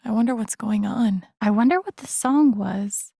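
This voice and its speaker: A woman's voice: on the high side, moderate pace, creaky, dark tone, slightly rough, no echo, studio quality. A woman playing an earnest, wry, vulnerable young video game heroine, in an introspective, understated inner-monologue style. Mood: concerned, reflective. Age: young adult.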